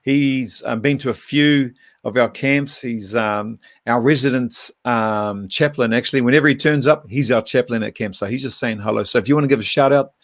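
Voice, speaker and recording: A relaxed man hosting a casual live stream, joking with his guests and pausing with fillers. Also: mid-range voice, dry recording, studio quality. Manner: relaxed, hesitant with fillers, jokey. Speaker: host.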